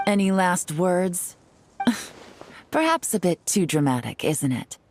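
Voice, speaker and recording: A woman's voice: on the low side, very animated, slow, a little mellow, slight background noise. An actress playing a cool, confident female secret agent in a video game, with a poised, dry and cutting delivery. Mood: cold contempt. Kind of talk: video game cutscene acting.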